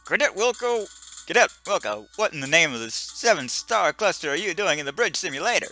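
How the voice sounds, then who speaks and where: A man voicing an angry male starship captain who shouts and scolds a cadet. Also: sits high, very animated, brisk, faint music behind, voice pushed up, heavily acted. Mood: furious.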